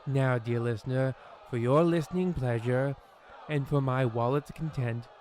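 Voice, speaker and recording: A man's voice: mid-range voice, normal speed, a little mellow, some chatter behind, slight background noise, close to an everyday voice. Man playing a pompous, scheming radio show host. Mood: smug scheming. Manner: theatrical, grandiose. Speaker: host of a radio play series. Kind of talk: scripted old-time radio horror host parody.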